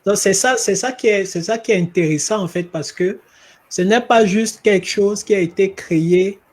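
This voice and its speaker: A man's voice: high-pitched, normal speed, darkish tone. A man interviewing a guest, affirming and summarizing his points. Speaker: interviewer, platform founder.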